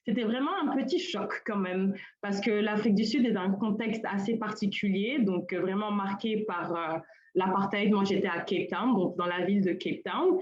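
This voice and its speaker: A woman's voice: mid-range voice, normal speed. A young woman from Senegal giving an informal conference talk, hesitant and full of fillers and restarts. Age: young adult. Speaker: colloquium panelist. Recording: roomy, dull lo-fi call audio.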